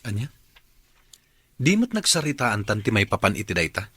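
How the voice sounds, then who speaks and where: A thrifty, patient but exasperated boyfriend in a radio drama. His Ilocano-accented voice is bright and forward, with lively swings in pitch. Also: normal speed, breathy edge, no echo, exaggerated voice. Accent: Filipino, Ilocano.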